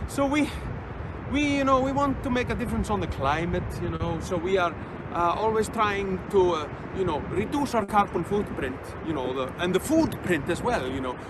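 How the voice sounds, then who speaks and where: An Icelandic man with a high voice telling jokes in a playful, deadpan way. He sounds like he is outdoors, and passing cars and street noise come through clearly behind him. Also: normal speed. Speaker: troubadour. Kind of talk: comic storytelling.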